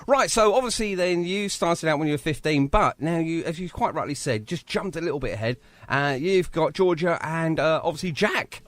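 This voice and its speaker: A man hosts a chatty radio interview. His voice sits fairly high and swings with lively intonation. The sound is dry and close, and he is effusively agreeable. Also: normal speed, few pauses, no room sound. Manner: effusive, agreeable, frequently affirming. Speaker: radio show host.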